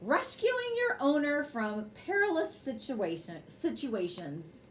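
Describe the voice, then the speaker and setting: Woman, storyteller, higher-pitched voice, very animated intonation, expressive children's reading. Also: slow, slight background noise. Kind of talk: bedtime story read aloud.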